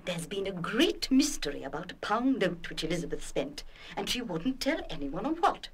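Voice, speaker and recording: A woman playing a perceptive, frank and kindly headmistress in an audio radio play, speaking with measured, emphatic authority. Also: on the low side, very animated, husky, heavily acted. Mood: reflective, understanding. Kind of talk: full-cast audio radio play acting.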